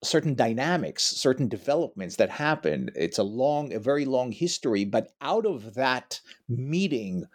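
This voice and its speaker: A man's voice: mid-range voice, big pitch swings, normal speed, few pauses. A middle-aged man from Israel, a history professor giving long explanatory answers in an interview.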